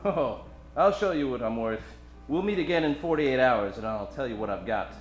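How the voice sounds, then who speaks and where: A man's voice: mid-range voice, expressive, moderate pace, close to an everyday voice. A man playing a cocky, hard-boiled private detective in an old-time radio parody. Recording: roomy stage, music behind.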